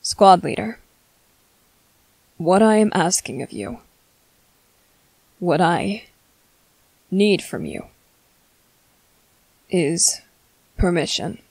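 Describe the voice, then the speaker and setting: A woman playing a courteous, restrained male vampire commander in an ASMR roleplay, speaking in formal, halting, hungry phrases. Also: fairly low pitch, lively intonation, gravelly, darkish tone, breathy edge, no echo, full character voice, low-quality recording. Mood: strained, pleading. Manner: formal, halting, measured, apologetic. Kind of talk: scripted ASMR roleplay monologue.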